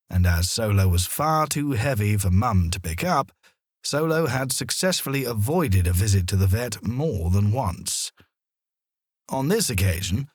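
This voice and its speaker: Animated, slow-paced male children's storyteller. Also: on the low side, slightly rough, dry recording, clean studio sound. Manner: deliberate, expressive storytelling. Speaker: audiobook narrator. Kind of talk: scripted children's story narration.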